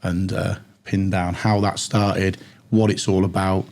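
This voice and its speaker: A husky-voiced British man, tired from lack of sleep, hosting a casual trade podcast. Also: low voice, little pitch movement, measured pace, darkish tone. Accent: British English. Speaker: podcast host.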